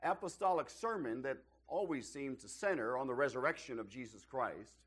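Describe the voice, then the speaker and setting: A middle-aged man, slightly hoarse from losing his voice, giving a sermon as a visiting preacher in a church, opening with self-deprecating jokes before building to emphatic rises. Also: mid-range voice, animated, normal speed, a bit bright. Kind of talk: sermon with folksy humor. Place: church auditorium.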